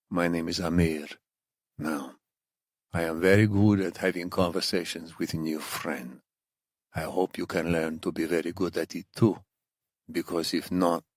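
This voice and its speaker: Man voicing a low, menacing Middle Eastern interrogator. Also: dry recording, clean studio sound. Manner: soft-spoken, mock-friendly, deliberate. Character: menacing muscular Iranian interrogator, coldly polite torturer.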